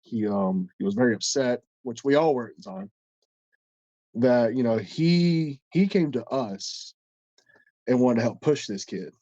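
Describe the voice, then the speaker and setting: A man's voice: medium pitch, animated, unhurried, dark tone, no echo, muffled recording. A man co-hosting a casual podcast, stuffed up and congested, telling a long story in a rambling, profane way with many restarts. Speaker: podcast co-host.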